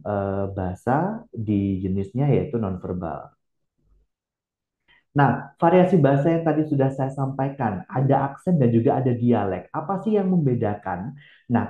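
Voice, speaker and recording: A man giving a lecture, explaining step by step with many hesitant filler pauses. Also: medium pitch. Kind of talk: recorded lecture. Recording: echoey room, phone-like band.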